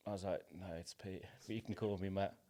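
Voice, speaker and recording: A man's voice: low voice, fairly even intonation, a little fast, dark tone. A man in his forties speaking as a podcast guest in reflective, casual interview chat, with a hesitant, informal manner. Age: 40s. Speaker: podcast guest, playwright and actor.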